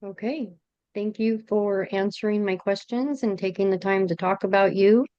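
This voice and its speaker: Polite woman interviewer with a smooth, dull-toned voice. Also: medium pitch, steady tone, moderate pace, dry recording. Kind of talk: reading interview questions.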